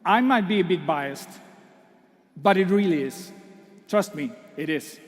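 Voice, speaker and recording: A man with a high voice hosts an awards ceremony from a stage. He talks slowly and jokes, stumbling over fillers. English is not his first language, and his voice rings with the long reverb of a big hall. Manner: joking, self-deprecating, halting fillers. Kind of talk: speech to an audience. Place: large hall.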